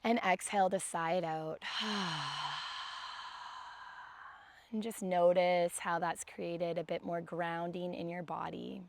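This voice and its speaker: A young woman with a raspy voice, teaching a guided yoga class in a calm, gentle way. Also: on the low side, slow, pauses often, a little hiss or hum. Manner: calm, gentle guidance with soft sighs.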